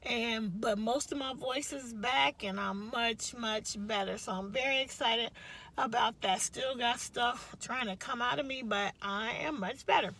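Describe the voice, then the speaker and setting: A woman vlogs from the driver's seat of a parked car, her voice bright and a touch high. She rambles cheerfully and laughs easily. A leftover cough lingers in her speech. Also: unhurried, low-quality recording. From Chicago, US Midwest. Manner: chatty, rambling, laughs often. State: slight cough, recovering voice. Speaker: craft vlogger. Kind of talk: casual chat to camera.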